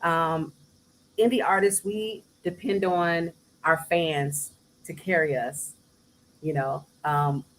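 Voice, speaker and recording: A woman who sings, talking casually as an interview guest, soft-spoken with bursts of laughter. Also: fairly even intonation, slow, a little hiss or hum, poor audio. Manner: soft-spoken, breaks into laughter and high mimicry. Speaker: singer guest.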